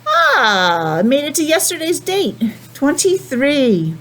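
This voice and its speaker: A woman's voice: high voice, huge pitch swings, slow pace, a bit bright. A woman teacher reading a picture book to young children, patiently asking questions and explaining things simply. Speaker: preschool teacher. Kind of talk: children's read-aloud with explanations.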